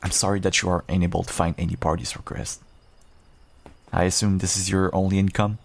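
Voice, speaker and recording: Deep, gravelly werewolf rogue, acted by a man. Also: a little hiss or hum. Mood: sober. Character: cloaked werewolf rogue adventurer, a calm protective savior. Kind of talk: roleplay audio drama addressed to the listener.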